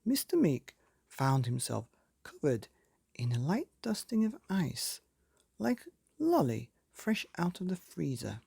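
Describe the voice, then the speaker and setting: A man hosting and reading a children's bedtime story, with theatrical, dramatic emphasis and drawn-out words. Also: on the high side, wildly swooping pitch, creaky, a little mellow, husky, no echo. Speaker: podcast host and story reader.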